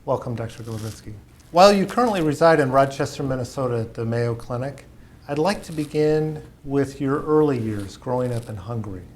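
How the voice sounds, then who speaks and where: A man conducts an oral-history interview, posing measured, courteous questions. His voice sits mid-range, and a faint hiss runs under the recording. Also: medium pitch.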